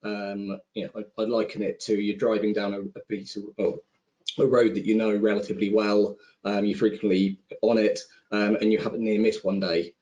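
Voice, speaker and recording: A man's voice: fairly low pitch, flat delivery, moderate pace, a little mellow, rough recording. A man speaking as an investment panelist on a conference call, thinking aloud in a conversational, informal way. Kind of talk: conversational roundtable commentary.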